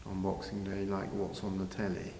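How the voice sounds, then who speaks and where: Interviewee: older man, very low voice, halting and measured, recorded in a reverberant hall. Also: monotone, a little mellow. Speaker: interviewee, village pantomime organiser. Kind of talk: informal interview answers. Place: large village hall, indoors. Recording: very echoey hall, faint noise.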